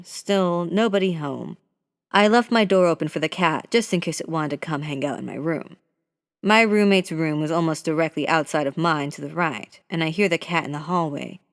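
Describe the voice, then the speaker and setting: A narrator reading a scary story aloud in a steady, measured way. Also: mid-range voice. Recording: voice with long hall reverb.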